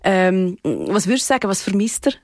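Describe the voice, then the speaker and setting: A woman's voice: medium pitch, a little fast, no echo. Chatty Swiss woman, dry close sound. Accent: Swiss German. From Switzerland. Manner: lively, chatty, asks the co-host questions. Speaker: music podcast host. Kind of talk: casual radio chat.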